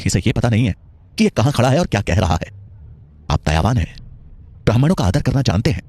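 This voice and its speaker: A stern court minister, played by a male narrator, weighs out each formal phrase deliberately. The voice is low and husky, in a historical audio story. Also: sing-song, a little mellow, full character voice. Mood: pleading. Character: stern court minister, pleading to king, sneering at youth.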